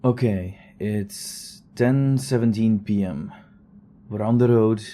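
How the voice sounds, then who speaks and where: A man's voice: on the low side, slow pace, dark tone, a little hiss or hum. An actor playing a weary, wry male investigator who records a conversational log in an audio drama, with a dry, sardonic, rambling manner.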